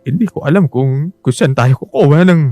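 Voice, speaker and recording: A man playing a worn-down radio-drama husband with a fairly high voice. He is bitter and halting, a family man at the end of his rope, over faint music. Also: animated, warm tone, no echo, close to an everyday voice. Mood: despairing. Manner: halting, sarcastic, despairing. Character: desperate, bitter, debt-ridden husband and father.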